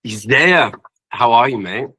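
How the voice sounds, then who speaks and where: A man's voice: mid-range voice, lively intonation, a little slow, sharp tone, husky, low-quality recording. A British man chatting as a musician guest in an interview, polite, effusive and a little hesitant. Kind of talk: casual interview chat.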